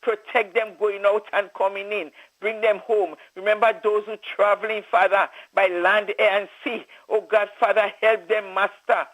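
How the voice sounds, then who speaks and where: A man's voice: high voice, flat delivery, moderate pace, hardly any pauses, fairly bright tone, rough recording. A man leading a fervent spoken prayer, calling out "oh God, Father" between his phrases. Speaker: prayer leader.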